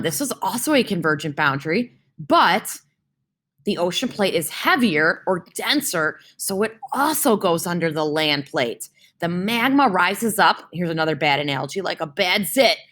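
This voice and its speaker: A young woman teacher with a slightly low voice talks her class through a science reading. She stops often to check that they follow, asking "right?". She makes points clearer with everyday comparisons. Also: animated, measured pace, a bit bright. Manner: explains with homely comparisons and keeps checking in with "right?".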